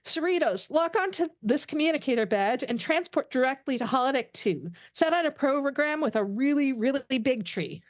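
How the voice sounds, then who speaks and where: Woman playing an excitable, high-pitched young alien lieutenant. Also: moderate pace, few pauses, dry recording. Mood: urgent. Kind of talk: amateur table read of animated sci-fi script.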